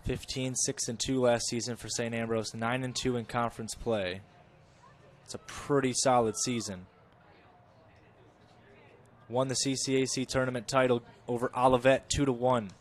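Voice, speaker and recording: A man's voice: medium pitch, flat delivery, moderate pace, long pauses. Man calling a college soccer match. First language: English. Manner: chatty, self-correcting, rises with the play. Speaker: play-by-play commentator. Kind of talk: live soccer commentary.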